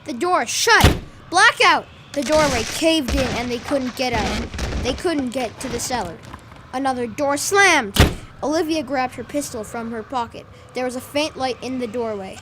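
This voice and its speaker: A woman's voice: sits high, lively intonation, moderate pace, background noise. A young girl narrating a radio drama from a script in dramatic short phrases. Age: child, about 10.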